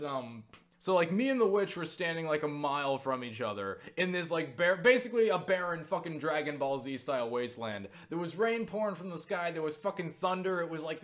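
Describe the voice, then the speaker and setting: A young man casually recounting a story for viewers, excitable and full of swearing and filler words. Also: a little high. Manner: excitable, swears freely, lots of fillers and laughs. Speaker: YouTuber answering viewer questions.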